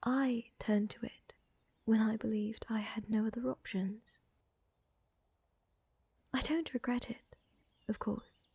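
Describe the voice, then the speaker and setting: A woman playing a tender, seductive vampire countess in an intimate ASMR roleplay, speaking softly and often in whispers, with refined affection. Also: a little high, steady tone, dry recording, full character voice. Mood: wistful, earnest. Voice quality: soft, breathy, often whispering. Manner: slow, refined, affectionate, gently dominant. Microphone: close, dry microphone.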